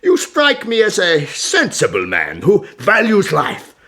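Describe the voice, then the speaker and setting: An actor playing a shrewd, imperious medieval sultan in a historical radio drama, measured and questioning. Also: male voice, high-pitched, huge pitch swings, pushed and bright, heavily acted. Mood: satisfied, commanding.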